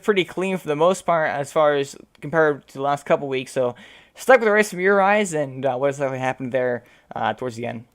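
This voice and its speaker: A man with a hoarse, fading voice interviewing sim racing drivers after a race, rambling with many fillers. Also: a little high. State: losing his voice.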